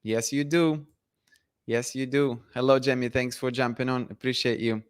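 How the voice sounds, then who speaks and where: A man's voice: medium pitch, fairly even intonation, normal speed, clean tone. A man hosting a casual live chat, reading viewer comments and talking with many restarts and fillers. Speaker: livestream host.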